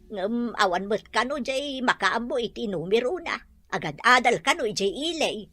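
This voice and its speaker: A woman's voice: on the high side, expressive, normal speed, fairly bright tone, faint music behind. An actress playing a protective, indignant older village mother in an Ilocano audio drama, with an Ilocano accent. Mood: concerned. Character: protective, indignant village mother defending her grown child.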